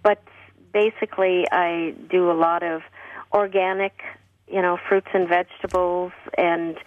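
A woman with a husky, slightly low voice speaks in earnest, plain-spoken answers, often restarting her sentences. She comes through a tinny phone line and sounds distant from the mic, with a dry sound. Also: no room sound. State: husky from a cough. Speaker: guest on a call-in radio show. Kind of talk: relaxed conversational interview answers.

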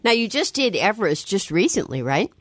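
A woman radio host with a lively, swooping voice takes callers and reacts readily to what she hears. The sound is close and dry, like a studio mic. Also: medium pitch, a little fast, no echo. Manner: warm, reactive interjections and questions. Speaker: radio call-in show host. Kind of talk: hosting a call-in radio talk show.

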